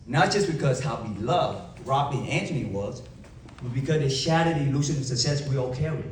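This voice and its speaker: A young man with a Vietnamese accent giving an emphatic motivational keynote to a live audience, with dramatic pauses and rhetorical questions. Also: mid-range voice, expressive, slight background noise. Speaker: motivational speaker. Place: large event hall. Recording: echoey hall from far off.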